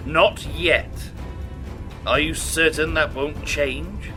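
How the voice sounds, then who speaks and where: An actor playing a disgraced starship officer in an audio drama, slyly persuasive, polite and insinuating. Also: male voice, on the high side, slow pace, pushed and bright, faint music behind. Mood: earnest, pressing. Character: smooth-talking, scheming male disgraced officer, slyly persuasive. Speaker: starship officer, former captain. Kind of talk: science fiction audio drama acting.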